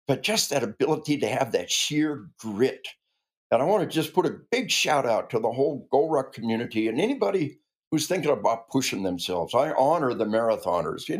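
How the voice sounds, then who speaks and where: A man's voice: medium pitch, slightly rough. A man in his sixties who grew up in the American Midwest, a retired army officer speaking earnestly and emphatically as a podcast guest. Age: 60s. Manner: earnest and emphatic, with frequent restarts. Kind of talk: conversational interview.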